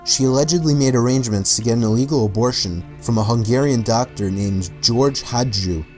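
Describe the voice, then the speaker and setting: Man, medium pitch, scripted documentary narration, background music. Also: a little fast, darkish tone. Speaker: video narrator. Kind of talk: scripted documentary-style narration of true mysteries.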